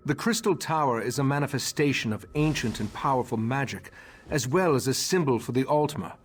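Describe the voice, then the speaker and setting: An actor playing a grave, remorseful elven mage leader in a fantasy game, speaking formally with measured, slightly husky delivery. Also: male voice, mid-range voice, lively intonation, slight background noise, lightly acted. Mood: measured, informative. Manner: measured, formal, solemn exposition. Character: grave elderly male high elf mage leader, remorseful and scholarly.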